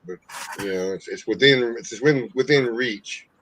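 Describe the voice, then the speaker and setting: A man's voice: mid-range voice, moderate pace, a bit bright. A man in his fifties chatting casually, on a dull recording. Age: 50s. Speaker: beekeeper, livestream guest. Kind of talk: casual chat. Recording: dull lo-fi audio, background noise.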